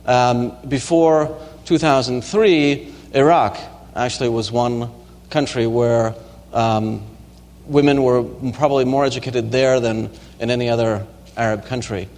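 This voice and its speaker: A male lecturer answers audience questions in a relaxed, halting way, often pausing on ums and restarting phrases. His voice sits at a middle pitch. The lecture hall adds a long, echoing tail to every word. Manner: hesitant, many ums and restarts. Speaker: university lecturer. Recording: reverberant lecture hall recording.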